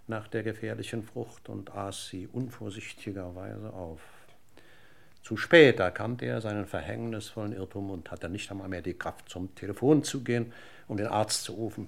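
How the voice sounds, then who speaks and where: An actor playing a courteous, verbose police inspector in a radio crime drama, speaking formally and in a roundabout, deliberate way. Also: male voice, on the low side, vocal fry.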